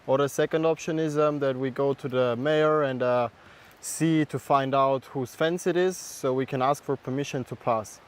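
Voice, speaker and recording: A man's voice: medium pitch, fairly even intonation, moderate pace, slight background noise. A man on the track crew, thinking aloud hesitantly about a problem. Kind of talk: casual talk to camera.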